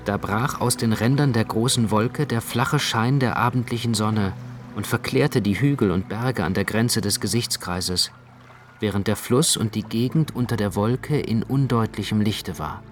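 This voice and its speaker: A man playing a gravely tender, observant storyteller in a literary radio drama, narrating with measured precision. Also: lowish voice, close to an everyday voice. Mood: grave narration. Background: prominent music.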